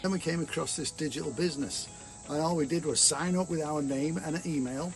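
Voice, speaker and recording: Manchester-born man, fairly high voice. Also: fairly quick, soft background music. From Manchester, England. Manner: reflective, earnest delivery. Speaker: musician sharing a testimonial. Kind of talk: personal testimonial.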